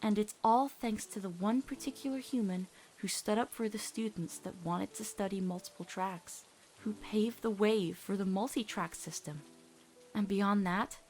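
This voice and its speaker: A woman voicing a gentle, steadfast teenage witch girl, speaking in a measured, reassuring way in a dramatic comic dub. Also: mid-range voice, close to an everyday voice.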